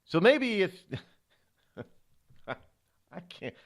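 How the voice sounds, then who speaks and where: A man's voice: high voice, very animated, drawn-out words. A middle-aged man hosting a talk radio show, delivering an indignant, rhetorical monologue with frequent stumbles and fillers.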